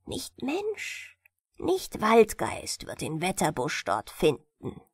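Woman voicing a plaintive little mandrake man, slow and earnest. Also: medium pitch, huge pitch swings, breathy edge, no room sound, whispered, clean studio sound, voice pushed down, heavily acted. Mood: earnest, eager. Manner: earnest, sorrowful, entreating. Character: small male mandrake sprite, gentle, plaintive, eager. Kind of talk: fairy-tale audiobook character.

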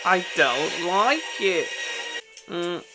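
A man's voice: sits high, lively intonation, extremely slow, over music, poor audio. A young man giving casual, off-the-cuff reaction commentary in a blunt, sweary manner. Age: young adult. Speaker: reaction video host. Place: small room at home, indoors.

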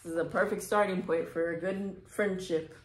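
A woman's voice: fairly low pitch, fairly even intonation, normal speed. A young adult woman reading a children's storybook aloud with casual asides. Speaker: storybook reader, host. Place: small indoor room. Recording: echoey room.

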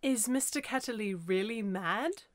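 A narrator voicing a curious, prim young girl who is quick to retort, in a children's audiobook. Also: medium pitch, lively intonation, no room sound, clean studio sound, voice pushed up, lightly acted. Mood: curious, excited. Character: curious, indignant young girl in 1900s London.

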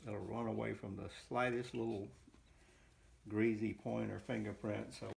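A man's voice: fairly low pitch, level tone, normal speed, gravelly, a little mellow. A middle-aged man giving casual, unscripted how-to narration in short bursts while he works with his hands. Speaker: hobbyist builder demonstrating his work. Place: indoor room at home, at a worktable. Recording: noisy home recording with clicks.

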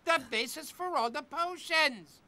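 An actor voicing a fussy centuries-old alchemist in a cartoon, complaining dramatically. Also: male voice, extremely high pitch, measured pace, sharp tone, heavily acted. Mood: aggrieved. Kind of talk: cartoon comic acting.

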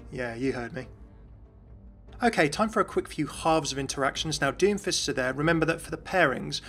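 A man's voice: a little high, fairly bright tone. A man hosting a game lore video, talking through voice lines in a chatty, enthusiastic voice-over. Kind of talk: scripted commentary voice-over.

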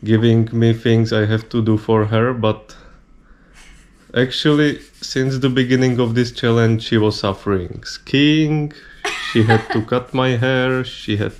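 A man's voice: mid-range voice, steady tone, unhurried, a little hiss or hum. A young man talking in a casual home vlog, dry and mildly teasing. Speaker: vlogger. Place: small living room, indoors.

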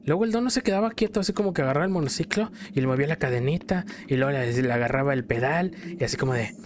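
A man's voice: medium pitch, expressive, rapid-fire, noisy background. A man with a Mexican accent telling a story in casual, colloquial style while commenting as a gameplay host. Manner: colloquial, acts out quotes in passing.

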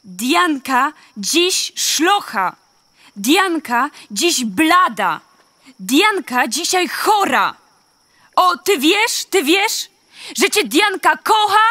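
An actress playing a tender, anxious, pleading girl in a radio drama, speaking with slow emphasis. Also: sits high, big pitch swings, sharp tone, dry recording, heavily acted. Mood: sorrowful, insistent. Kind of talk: theatrical radio drama acting.

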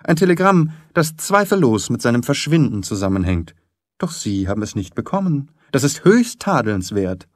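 A man playing a composed, shrewd gentleman detective in an audiobook, polite, precise and insistent. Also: mid-range voice, very animated, moderate pace, dry recording, studio quality. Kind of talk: audiobook character acting.

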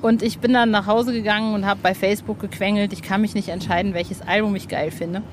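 A woman's voice: medium pitch, steady tone, fairly quick. A woman hosting a casual, chatty interview with gushing enthusiasm, often correcting herself as she goes. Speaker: podcast host interviewing an author. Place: outdoor roof terrace. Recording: ambient noise from an outdoor terrace.

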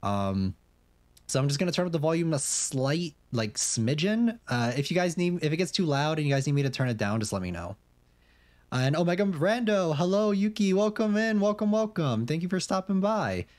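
A man's voice: fairly high pitch, big pitch swings, brisk, a little mellow, no room sound. Chatty young man streaming a game. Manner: chatty, rambling, many fillers and restarts, reads questions aloud. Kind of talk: casual game commentary.

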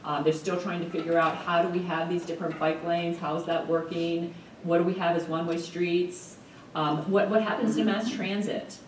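A woman's voice: lowish voice, steady tone, moderate pace, a little hiss or hum. Woman presenting in an echoey hall. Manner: explanatory, frequent ums and uhs. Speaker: keynote speaker. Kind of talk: conference presentation. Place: large conference hall, at a podium. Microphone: podium mic, recorded from the audience. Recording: roomy hall audio from far off.

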